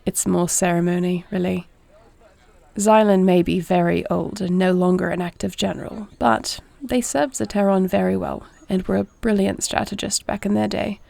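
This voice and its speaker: A woman playing a warrior princess wife, heavy vocal fry, formal and measured, restrained intonation, caring yet wry, audio roleplay. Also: medium pitch, fairly even intonation. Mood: measured, explanatory. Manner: formal, measured, soft-spoken speech. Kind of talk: audio roleplay acting, addressing the listener.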